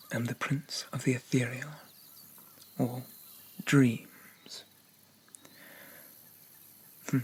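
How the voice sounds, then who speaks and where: A man playing a haughty, possessive demon prince of dreams in an ASMR roleplay, speaking formally and pompously in a soft, often whispered voice. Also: mid-range voice, slightly rough. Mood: regal, condescending. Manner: pompous, formal, archaic diction. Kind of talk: ASMR roleplay, addressing the listener.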